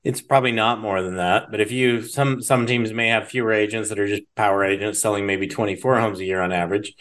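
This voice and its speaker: A man's voice: lowish voice, fairly even intonation, fast talker, a bit bright. Conversational man hosting a business podcast. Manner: conversational, thinks aloud with restarts. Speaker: podcast host. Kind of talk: casual business interview.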